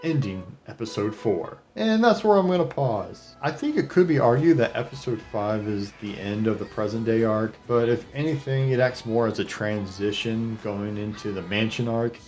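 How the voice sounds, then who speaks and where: A man's voice: lowish voice, expressive, moderate pace, few pauses, darkish tone, soft background music. A man narrating a casual anime retrospective as its host, with an irreverent, sarcastic delivery and profane asides. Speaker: anime reviewer and video host. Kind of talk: casual scripted retrospective narration over clips.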